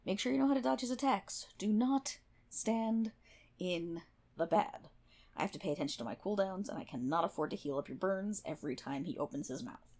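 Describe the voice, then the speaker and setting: A woman's voice: mid-range voice, animated, a little fast, darkish tone. A woman playing a prickly, sarcastic guild healer in an online game, scolding and swearing one-on-one in an ASMR roleplay. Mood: bossy. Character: brash, prickly tsundere MMO guild healer, a woman gamer.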